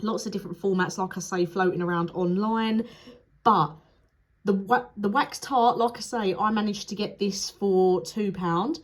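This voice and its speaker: A woman's voice: medium pitch, steady tone, moderate pace. A young woman reviewing candles in a chatty, frank manner, sounding nasal and sniffly from a cold. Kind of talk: casual product review vlog.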